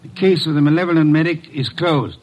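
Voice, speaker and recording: An actor playing an imperious, eccentric older detective in a vintage radio mystery, speaking formally and deliberately with pompous weight. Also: male voice, a little high, steady tone, over music, natural voice, rough recording. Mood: solemn, expository. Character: imperious, eccentric genius male private detective. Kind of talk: 1950s American radio mystery acting. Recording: vintage radio drama recording.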